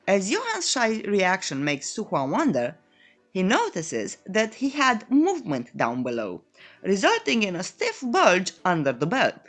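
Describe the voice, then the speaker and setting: A woman's voice: medium pitch, fairly bright tone. A woman narrating a scripted comic recap in a playful, tongue-in-cheek, coy manner. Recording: voice-over with background music. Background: music.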